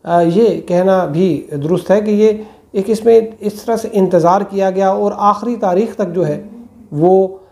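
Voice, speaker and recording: A man, giving political commentary to camera in a small room on a lapel mic, measured and full of hesitations. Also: high voice, vocal fry, a little mellow. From Pakistan. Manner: measured, many hesitations and fillers. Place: small indoor room with plain walls.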